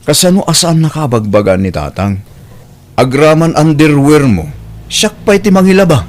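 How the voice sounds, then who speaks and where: A man's voice: a little high, animated, normal speed, close to an everyday voice. A man playing a stern, exasperated young husband protective of his father, in an audio drama with an Ilocano accent. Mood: angry.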